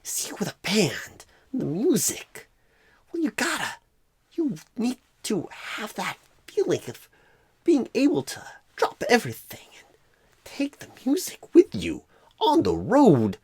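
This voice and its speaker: Clueless recruiter, played by a man, ASMR roleplay: slow, husky, rambling, odd pauses and stresses. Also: high voice, wildly swooping pitch, dry recording, full character voice. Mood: musing, earnest. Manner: halting phrasing with odd pauses and swooping stresses.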